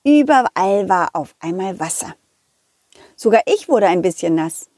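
A woman's voice: medium pitch, lively intonation, measured pace, darkish tone, no room sound, studio quality. A woman voicing a cheerful, trusting male dog who chattily tells children's stories in a childlike, direct way. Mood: excited storytelling. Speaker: storytelling puppet character, host. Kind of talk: lively children's audio story narration.

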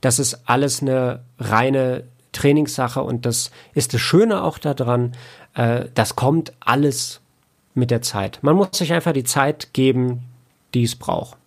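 A man talking casually as an interview guest, explaining his work in a reflective, halting way with repeated words. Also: medium pitch, creaky. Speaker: podcast guest, audiobook editor and audio drama producer.

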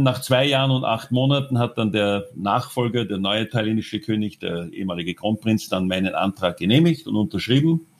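Man, sixties, interviewee, halting speech with many fillers and restarts. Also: on the low side. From Austria. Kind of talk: telling his own story in an interview.

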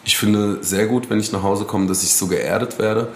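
A man talking casually as an interview guest, relaxed and rambling, with slang and many fillers. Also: lowish voice, normal speed, reverberant, a little hiss or hum.

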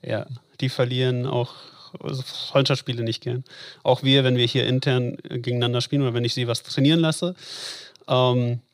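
A man's voice: mid-range voice, flat delivery, moderate pace, no room sound, studio quality. A man coaching an esports football team, answering interview questions at length with frequent fillers. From Germany. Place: Ruhr area.

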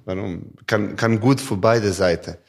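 A handball player in a podcast interview, speaking halting non-native German with many fillers, originally from Montenegro. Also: male voice, lowish voice, creaky. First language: not German.

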